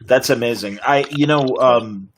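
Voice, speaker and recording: A man's voice: mid-range voice, unhurried, a little hiss or hum. A man hosting a panel interview, asking hesitant, rambling questions. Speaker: interview host and moderator. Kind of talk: fan panel interview over video call. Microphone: video call.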